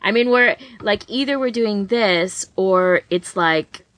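A woman's voice: medium pitch, takes it slowly, a bit bright, dry recording. A woman co-hosting a podcast in casual chat, rambling along with lots of "like" and "you know". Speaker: podcast co-host.

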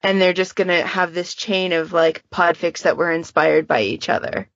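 A woman's voice: fairly low pitch, fairly even intonation, normal speed, dry recording. Woman podcast host, dry lo-fi sound. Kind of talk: casual podcast chat.

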